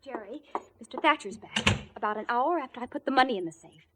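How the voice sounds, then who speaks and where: Very noisy 1940s radio recording: anxious young female role acted by a woman, high, animated, urgent. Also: quick pace, close to an everyday voice. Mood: urgent, worried. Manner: urgent, worried.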